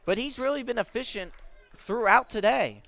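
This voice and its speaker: High-voiced American man calling baseball. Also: normal speed, creaky, fairly bright tone, no room sound. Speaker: baseball play-by-play announcer. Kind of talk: live radio play-by-play commentary. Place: at a baseball stadium.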